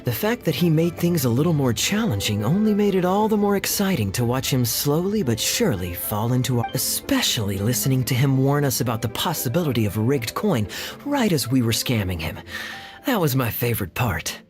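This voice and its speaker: Casting: performer voicing a sly, confident game gambler, teasing and theatrical, very lively pitch, music underneath. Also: a little fast, close to an everyday voice. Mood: playful, smug. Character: suave playful gambler, cunning and self-assured trickster. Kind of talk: video game voice acting.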